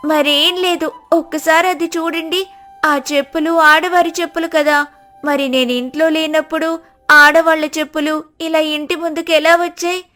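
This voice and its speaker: A woman voicing a silly, melodramatic village wife who wails and complains loudly. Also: sits high, steady tone, moderate pace, faint music behind. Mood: complaining. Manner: wailing, melodramatic.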